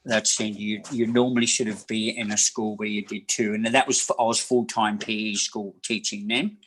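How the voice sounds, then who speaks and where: A man in his sixties from New Zealand, a massage therapist telling his life story in an interview, rambling and halting with many fillers and restarts. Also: fairly low pitch, level tone, background noise. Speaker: guest, massage therapist and personal trainer. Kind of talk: casual interview talk.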